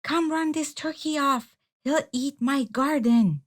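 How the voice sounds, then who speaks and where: A fussy, proud farm wife from a children's book , voiced by a woman reader. She talks in a bossy, folksy way at a raised pitch. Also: slow. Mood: alarmed.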